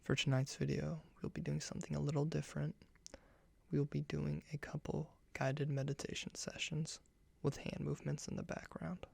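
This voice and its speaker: A soft, hushed man guiding a meditation in ASMR style, speaking gently in measured short phrases. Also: a little high, level tone, gravelly, no room sound.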